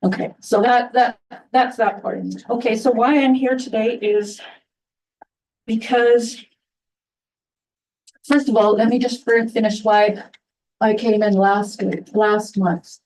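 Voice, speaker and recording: Soft-spoken woman, halting, mic at a distance. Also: on the high side, fairly even intonation, slight background noise. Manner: halting, many restarts and fillers. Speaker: resident addressing town council. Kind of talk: public comment at a council meeting. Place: town council chamber.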